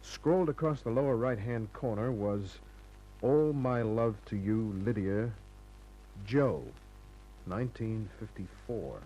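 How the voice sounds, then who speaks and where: An actor playing a hard-boiled, cynical private detective in a 1950s radio crime drama, tough and clipped in delivery. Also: male voice, fairly low pitch, takes it slowly, mellow, slight background noise, poor audio. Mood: brisk narration.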